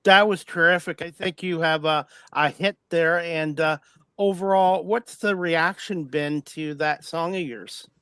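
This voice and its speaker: A man hosts a talk show in a bright, crisp voice. He asks his guest questions in a friendly, slightly halting way. His voice sits a little high for a man and comes across smooth. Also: fairly even intonation, no room sound, studio quality. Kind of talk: interview questions.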